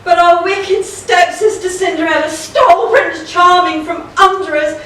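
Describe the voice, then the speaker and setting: A woman's voice: very high voice, a little slow, fairly bright tone. A woman playing a vain, melodramatic ugly stepsister in a stage pantomime, overwrought and exaggerated. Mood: self-pitying. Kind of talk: broad stage pantomime acting. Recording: echoey hall, distant mic, background noise.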